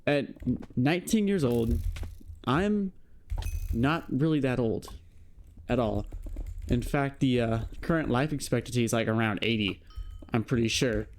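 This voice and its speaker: A man's voice: mid-range voice, measured pace, pauses often, a little mellow, slight background noise. A young man in his late teens talking reflectively to camera in a rambling vlog, full of fillers and "you know". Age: young adult. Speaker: vlogger. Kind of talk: casual monologue to camera.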